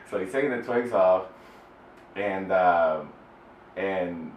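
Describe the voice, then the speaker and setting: A man from El Salvador telling a personal story off the cuff, in a halting, relaxed way with many fillers and restarts. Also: sits low, muffled recording, slight background noise. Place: Houston interview space. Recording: roomy distant mic with faint ambience.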